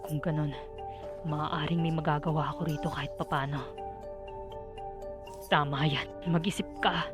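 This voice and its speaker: Girl doing young anime soldier guy, low voice, intense anxious inner monologue, music behind. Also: fairly even intonation, moderate pace, long pauses, close to an everyday voice. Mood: tense, pondering. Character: earnest young male soldier, anxious and self-questioning. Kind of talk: dramatic anime fandub monologue.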